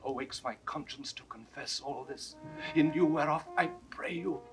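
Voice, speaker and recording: An actor playing a mortally wounded French count, remorseful and earnest, declaiming in breathy classical Shakespearean style. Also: male voice, on the high side, moderate pace, over music, close to an everyday voice. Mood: dying, solemn confession. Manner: declamatory, breathy dying delivery. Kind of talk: 1960s classical Shakespeare audio drama acting. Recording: noisy vintage 1960s studio recording.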